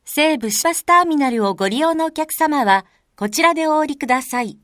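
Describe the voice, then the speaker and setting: A woman reading recorded subway announcements in a very polite, formal, measured way. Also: high voice, lively intonation, fairly bright tone, dry recording, studio quality.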